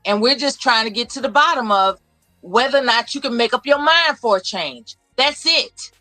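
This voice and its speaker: A woman's voice: on the high side, animated, moderate pace, pushed and bright, dry recording, faint music behind. A woman debating on a live panel, firm and commanding as she presses her points.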